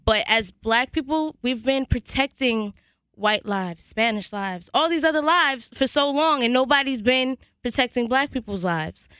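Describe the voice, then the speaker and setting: A woman's voice: high voice, animated, a little slow, a bit bright, clean tone, no room sound. Outspoken woman on studio mic. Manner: firm, outspoken. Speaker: radio co-host. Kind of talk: radio talk. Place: radio studio. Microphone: studio boom mic.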